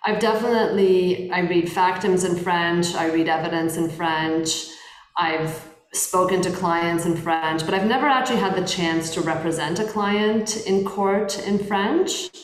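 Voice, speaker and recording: Woman, thirties, guest, roomy echo, measured, self-correcting. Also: fairly low pitch. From Poland. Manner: thoughtful, measured, frequent restarts. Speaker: guest lawyer. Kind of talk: interview conversation.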